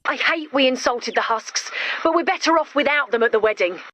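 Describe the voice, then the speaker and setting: A woman voicing a chirpy, confident, quirky young builder in a video game, brisk and matter-of-fact. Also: sits high, expressive, fairly bright tone. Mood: cheerful. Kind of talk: video game character dialogue.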